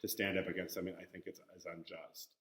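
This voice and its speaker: Low-voiced thoughtful man in echoey room. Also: flat delivery, fast talker, a bit bright. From Minnesota, USA. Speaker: interviewee. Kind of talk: reflective interview.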